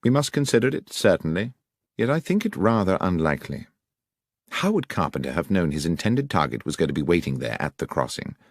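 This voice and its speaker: Man voicing keen, commanding detective, lively pitch. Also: no echo, clean studio sound, full character voice. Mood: pondering. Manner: brisk, precise, questioning. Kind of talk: audiobook character voice.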